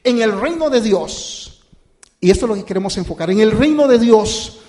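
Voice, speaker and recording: A male pastor giving a relaxed, conversational sermon, hesitating with many fillers and restarts and calling his listeners brothers. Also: high voice, normal speed. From born outside the United States. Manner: addresses listeners as brothers and "usted".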